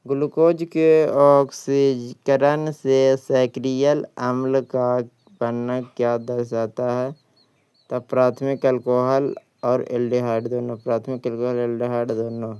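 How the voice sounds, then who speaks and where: A male coaching teacher reads practice questions and answers at a slow, deliberate pace. His voice is very clean and smooth, with little melodic variation and a dry, close sound. He tends to repeat the answers. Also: medium pitch, warm tone, dry recording.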